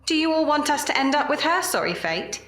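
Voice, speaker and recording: An actress as a principled woman leading survivors in a period audio drama. Her voice sits fairly high and she speaks with earnest command, recorded in a roomy space some way from the microphone. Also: very animated, brisk, a bit bright, distant mic, faint music behind. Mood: stern, rallying. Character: firm, principled woman keeping a group of survivors together.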